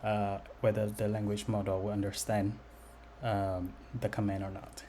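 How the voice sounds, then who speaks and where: A man giving an unscripted software demo as its developer, speaking in a halting, hesitant way. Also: lowish voice, monotone. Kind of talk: unscripted screen-recorded demo narration. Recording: noticeable background noise.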